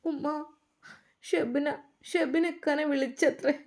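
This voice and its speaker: A woman voicing an anxious young pregnant wife, high-pitched and pleading. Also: steady tone, takes it slowly, a little mellow. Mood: distraught.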